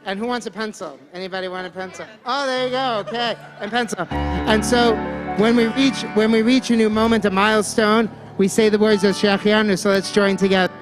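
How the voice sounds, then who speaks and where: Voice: medium pitch, fairly even intonation, brisk. An upbeat, encouraging service leader guiding a family prayer service in a synagogue sanctuary. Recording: long reverb hall with music behind.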